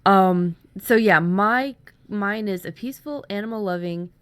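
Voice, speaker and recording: A woman's voice: mid-range voice, unhurried, a bit bright, smooth. A woman in her thirties co-hosting a casual writing chat, sharing story ideas in a playful, joking way. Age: 30s. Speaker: writer and co-host.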